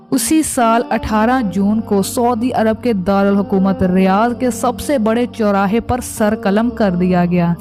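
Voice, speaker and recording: A woman narrates in a scripted documentary style. Her voice sits at an average pitch for a woman. Music plays clearly behind her narration. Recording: background music under voice.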